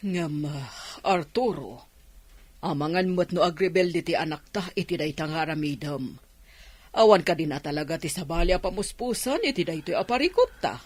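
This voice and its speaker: A woman's voice: on the low side, lively intonation, moderate pace, dry recording. A woman acting a demanding middle-aged mother in an Ilocano radio drama. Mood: worried.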